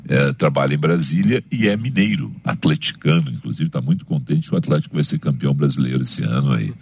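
A man co-hosting a radio show, chatting casually with quick asides and repeated words. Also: extremely low pitch, vocal fry, husky, no room sound, dull audio. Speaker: radio host. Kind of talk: casual on-air chat.

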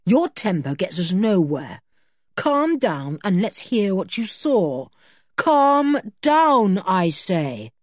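A woman voicing a sensible older boy in a storybook, giving orders in a firm, brisk manner. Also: lowish voice, very animated, a little mellow, dry recording, clean studio sound, heavily acted. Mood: firm, commanding. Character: sensible, commanding older boy, leader of children.

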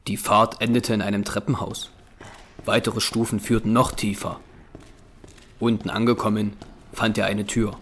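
A man's voice: lowish voice, little pitch movement, moderate pace, pauses often, a bit bright, slight background noise. A man reading scripted narration for an audio drama. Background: music.

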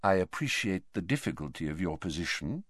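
Brief: old wizard headmaster read by a man, soft and calm, light conversational tone with quiet mumbles, storybook reading. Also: medium pitch, unhurried, dry recording, clean studio sound, voice pushed up. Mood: gentle, pleading. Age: older.

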